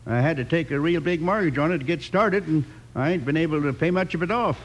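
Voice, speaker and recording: A worried old rancher in a radio western, acted by a man. His voice sits a little high, with folksy, plain Western American speech. There is some noise on the vintage recording. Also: fast talker, close to an everyday voice. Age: older.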